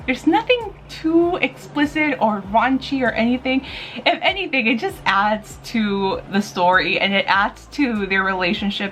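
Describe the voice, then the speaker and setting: A woman's voice: medium pitch, a bit bright, a little hiss or hum. A woman giving casual, chatty recommendations as a video host, with an enthusiastic, gushing, informal way of talking. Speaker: manga reviewer and video host.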